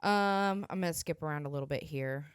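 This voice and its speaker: Smooth, lower-pitched woman chatting as co-host. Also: fairly quick, no echo, studio quality. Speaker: podcast co-host. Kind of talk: casual chat reviewing films and books.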